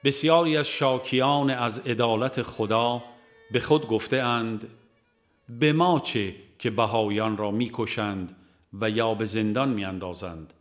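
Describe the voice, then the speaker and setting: A man reading a religious audiobook in a measured, formal way, as scripted narration. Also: mid-range voice, soft background music.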